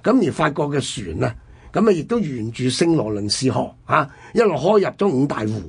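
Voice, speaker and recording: A man's voice: mid-range voice, sing-song, fairly quick, vocal fry, darkish tone, husky. A man with a Hong Kong Cantonese accent, telling history conversationally as a radio co-host.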